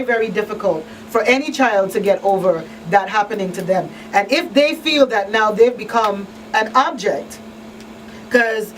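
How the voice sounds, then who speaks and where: A woman hosting a radio talk show, speaking conversationally and emphatically, repeating phrases to drive her points home. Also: medium pitch. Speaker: talk show host and crisis counselor. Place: radio studio. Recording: noisy radio room, slightly distant mic.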